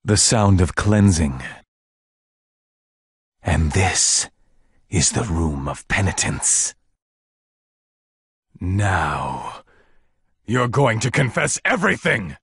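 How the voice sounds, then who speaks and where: Menacing preachy leader, very low voice, slow, theatrical, condescending, video game villain, music behind. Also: male voice, very animated, fairly bright tone, breathy edge, no room sound, clean studio sound, exaggerated voice. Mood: menacing, sermonizing. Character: menacing, sanctimonious male cult-like leader and manipulator. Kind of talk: video game cutscene voice acting.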